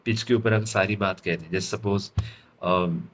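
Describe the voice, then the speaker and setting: An Indian man giving an instructional lesson as a public speaking trainer, deliberate and stressing his key words. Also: low-pitched, slight background noise. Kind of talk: instructional lesson mixing Hindi and English.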